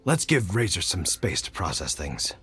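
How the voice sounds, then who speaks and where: A middle-aged man voicing an easygoing, fatherly hunter who talks in a relaxed, thoughtful way. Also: deep voice, moderate pace, exaggerated voice. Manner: relaxed, thoughtful, frequent sighs. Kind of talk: game cutscene voice acting.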